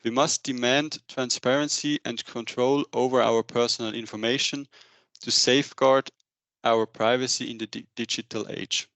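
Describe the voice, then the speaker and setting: A man in his thirties giving a semi-scripted business webinar presentation into a headset, with a halting delivery. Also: mid-range voice, fairly even intonation, fairly bright tone, no echo. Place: indoor room, on a video call.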